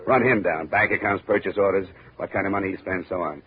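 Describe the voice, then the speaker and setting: Man playing a low-voiced hard-boiled American detective. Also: fast talker, a little hiss or hum, close to an everyday voice. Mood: brisk, commanding. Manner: clipped, wry, commanding. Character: brisk, hard-boiled male insurance investigator. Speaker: radio drama lead. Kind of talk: 1950s radio detective acting, first-person narration. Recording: muffled old radio recording, faint music.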